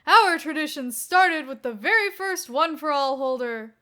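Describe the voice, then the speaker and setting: A woman's voice: sits high, lively intonation, a little slow, sharp tone, pitched above the performer's own voice, exaggerated voice. A woman voicing a mischievous middle-aged male superhero who plays the gleeful villain, boastful and theatrical in an audiobook-style story.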